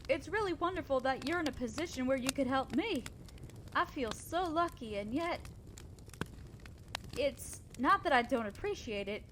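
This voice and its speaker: A fairly high female voice over some background noise , an actress in role as a kind centaur wanderer. She talks straight to the listener in an audio roleplay. Her delivery is earnest and gentle, with the hesitance of someone selfless who doubts herself. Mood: amazed, grateful, then gently refusing.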